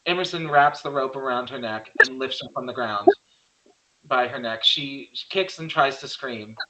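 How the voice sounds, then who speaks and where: A man narrates a horror screenplay, reading scene headings and action plainly. His voice is medium in pitch with a bright, forceful edge. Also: normal speed, rough recording. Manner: plain stage-direction reading. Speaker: script narrator.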